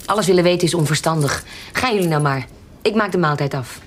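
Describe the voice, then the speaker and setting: Actress as a low-voiced, shrewd adventuress. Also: female voice, quick pace, a little hiss or hum, lightly acted. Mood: calm, guarded. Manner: guarded, evasive. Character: shrewd, self-possessed adventuress, ex-soldier, aunt. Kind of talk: Dutch radio drama acting.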